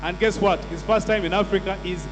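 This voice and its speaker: A man's voice: high voice, steady tone, a little fast. A man hosting on stage, teasing the audience and asking them to guess who the next speaker is. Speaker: event MC. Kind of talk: crowd-engaging stage hosting. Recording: reverberant hall with mains hum.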